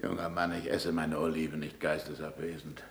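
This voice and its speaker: An actor in a German radio play, playing a stubborn, sardonic aging astronomer with a gravelly, husky voice and deliberate, emphatic delivery. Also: male voice, a bass voice, flat delivery, slight background noise. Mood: dry, curt. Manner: deliberate, emphatic speech-making.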